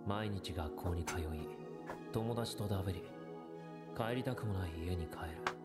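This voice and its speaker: A man's voice: low-pitched, fairly quick, natural voice. An actor playing a weary, bitter young man narrating his memories in a subdued, brooding way in an anime. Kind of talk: scripted anime monologue. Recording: played from a show, distant, under music.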